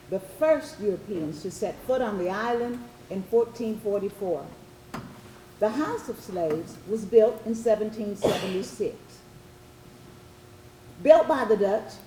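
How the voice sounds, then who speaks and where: A woman narrator reads from a script in a dark, mellow voice of medium pitch. The room is echoey, with faint noise behind her. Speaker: narrator in readers theatre performance. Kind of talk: scripted historical narration read aloud.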